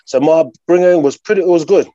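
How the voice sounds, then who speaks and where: A man's voice: fairly high pitch, fast talker, mellow, no echo, muffled recording. A man from Sydney with an Australian accent, talking casually as a guest and filling his speech with "you know" and "bro". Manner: laid-back, lots of "you know" and "bro". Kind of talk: casual interview talk.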